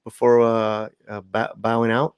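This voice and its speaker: A man hosting a casual livestream chat over a video call, relaxed and chatty, with loose fillers. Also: lowish voice, unhurried, smooth, no echo. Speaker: host.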